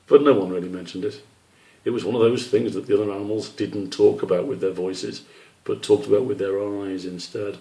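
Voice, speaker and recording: Male author narrating children's storybook, creaky low register, roomy sound. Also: fairly even intonation, fairly quick, dark tone. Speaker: author reading his children's book. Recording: roomy echo, distant microphone.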